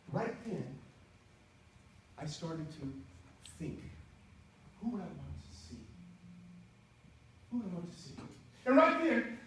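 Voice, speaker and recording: A man in his sixties with a strikingly high, lively voice tells a comic tall tale from a stage, full of animation and snatches of song. He is heard from well back in a very echoey hall, with a faint hiss under the room sound. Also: distant mic. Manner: animated, breaks into song snippets. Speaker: contest speaker. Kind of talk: humorous storytelling speech. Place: auditorium stage before an audience.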